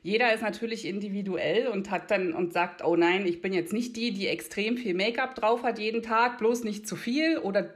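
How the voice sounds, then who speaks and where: A woman's voice: medium pitch, normal speed, hardly any pauses. A middle-aged woman from the Berlin area of Germany, chatting at length in careful standard speech as an interview guest who works as a make-up artist. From Brandenburg near Berlin, Germany. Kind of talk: conversational interview answers.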